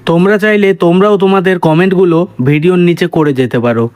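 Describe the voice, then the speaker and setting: A man as channel host giving a quick, friendly outro announcement. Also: sits high, expressive, darkish tone, smooth.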